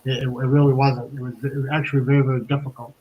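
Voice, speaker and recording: A man telling a personal story informally, in a halting way with repeated words. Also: medium pitch, flat delivery, highs cut off.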